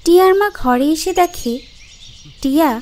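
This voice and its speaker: A woman's voice: very high-pitched, a little slow, a little mellow, a little hiss or hum. A woman reading scripted storybook narration for a fairy-tale cartoon.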